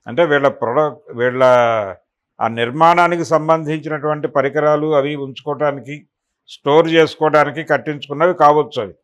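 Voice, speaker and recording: A man's voice: fairly high pitch, fairly bright tone. A man giving extended spoken news analysis as a political commentator, explaining and recounting in detail.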